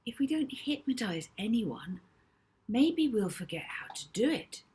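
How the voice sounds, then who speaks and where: A woman's voice: on the high side, sing-song, measured pace, darkish tone, husky, voice pushed up, heavily acted. A woman voicing an earnest, slightly glum young girl in a children's audiobook. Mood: hesitant, pleading.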